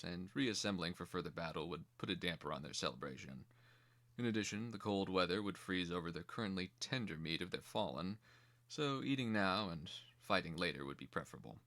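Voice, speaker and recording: A man's voice: low voice, a bit bright. A man giving scripted dramatic narration as the narrator of a fantasy screenplay reading.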